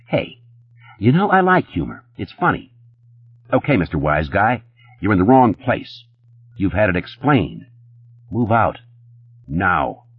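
A man's voice: sits low, big pitch swings, a little slow, pauses often, husky, no room sound, lo-fi sound, heavily acted. A man voicing a mean, belligerent bouncer with a coarse, sneering manner. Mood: mocking. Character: belligerent, mean male bouncer, wise-cracking tough guy.

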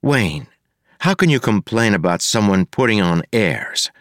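A man's voice: fairly low pitch, sing-song, measured pace, a bit bright, dry recording, studio quality. A man voicing a level-headed young woman constable who teases dryly. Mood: dry teasing.

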